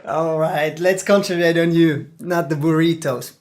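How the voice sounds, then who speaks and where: Interviewer: man, slightly high voice, brief and friendly off-camera questions. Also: fairly even intonation, unhurried. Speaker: interviewer, host.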